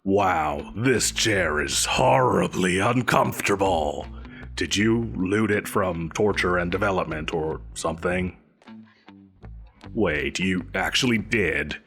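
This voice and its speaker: An actor playing a pompous, petulant supervillain boss in an audio roleplay, blustering theatrically and defensively. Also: male voice, deep voice, slow pace, fairly bright tone, faint music behind, heavily acted. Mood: grudging, exasperated. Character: pompous, petulant, evasive male supervillain employer. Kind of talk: scripted audio roleplay addressed to a listener.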